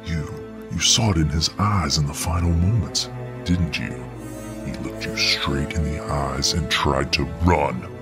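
An extremely low, rumbling supervillain, acted by a man, speaks over clear background music. He is huge, domineering and righteous, taunting deliberately and with contempt in an audio drama monologue. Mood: contemptuous, taunting. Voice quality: very deep voice. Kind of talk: ASMR audio role-play acting.

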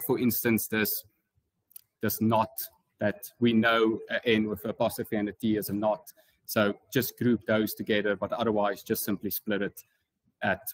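A man's voice: fairly low pitch, no room sound, rough recording. A man with a South African accent presenting an informal technical tutorial as a conference speaker, explaining things in a casual way. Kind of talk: informal technical talk with slides.

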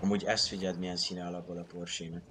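Man, deep pitch, casual gamer chat, noticeable car noise. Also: flat delivery. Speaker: fellow player in online roleplay game.

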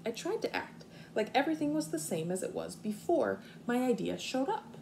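Animated woman reading to young children. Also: fairly high pitch, gravelly. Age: young adult. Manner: expressive, deliberate, explaining simply to young children. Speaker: kindergarten teacher. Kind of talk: children's read-aloud with explanatory asides. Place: living room at home, indoors. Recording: faint room noise, home video.

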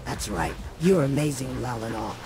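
A man voicing a gentle, polite and earnest troll in a fantasy video game. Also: medium pitch, moderate pace, close to an everyday voice. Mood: awed, keen. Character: gentle troll who loves cooking, newly well-spoken. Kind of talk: fantasy game character acting.

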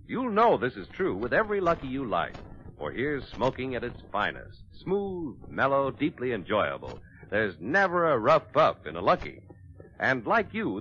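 A man's voice: medium pitch, slight background noise. An American man delivering a scripted 1940s radio commercial as an announcer. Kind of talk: 1940s scripted cigarette advertisement. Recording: dull vintage radio recording.

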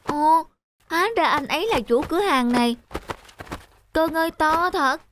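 A woman's voice: high voice, expressive, moderate pace, background noise. A woman voicing a poor, polite teenage shoeshine boy in a cartoon, earnest and youthful. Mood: polite, curious. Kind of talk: cartoon character acting.

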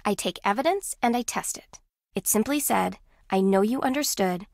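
A woman playing an aloof, competitive, secretive contestant in a video game, with a deadpan, clipped manner. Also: mid-range voice, normal speed, vocal fry, no echo, studio quality. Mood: cool, dismissive. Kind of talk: video game character acting.

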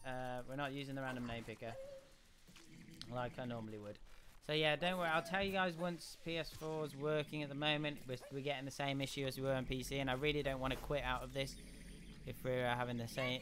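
A young man hosting a casual game livestream. He is chatty and rambling, with a slightly croaky voice. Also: on the high side, fairly bright tone. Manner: chatty, rambling, often restarts and hums. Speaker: gaming livestream host. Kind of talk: casual live commentary. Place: home room. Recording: audible background noise.

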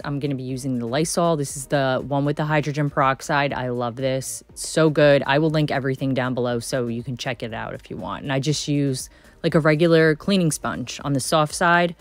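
A woman in her thirties narrating a cleaning vlog in a casual, chatty, enthusiastic way, often saying "like.". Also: sits low. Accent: Texan. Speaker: cleaning vlogger.

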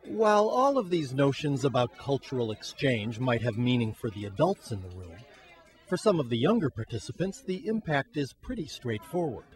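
A man narrating a TV news feature as producer and reporter, in a measured scripted delivery. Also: medium pitch, big pitch swings.

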